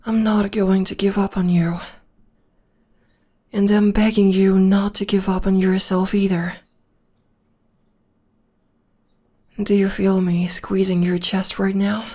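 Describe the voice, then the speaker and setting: A young woman voicing a tender, protective witch girlfriend in an ASMR roleplay, speaking softly and often whispering close to the microphone. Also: medium pitch, flat delivery, measured pace, close to an everyday voice. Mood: soothing. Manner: soft and soothing, often whispering. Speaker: voice actor.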